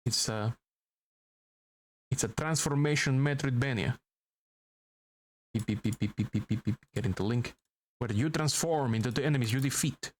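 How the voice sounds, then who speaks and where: A man's voice: mid-range voice, moderate pace, pauses often. A Venezuelan man with a thick Maracaibo accent, a native Spanish speaker, chatting playfully while he streams a video game and reads its text aloud. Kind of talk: casual live-stream commentary while gaming. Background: game music.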